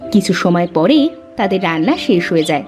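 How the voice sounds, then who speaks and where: A woman's voice: lowish voice, big pitch swings, fairly quick, darkish tone, soft background music. A woman narrating a scripted cartoon story as its storyteller.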